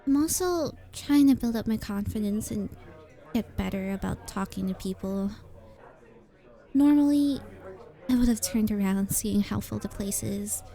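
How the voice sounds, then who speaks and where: A woman voicing a very shy, sweet young coffee shop regular in an ASMR roleplay, talking in a hesitant, softly earnest way that sometimes drops toward a whisper. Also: medium pitch, a little slow, natural voice, poor audio. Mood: earnest, chatty.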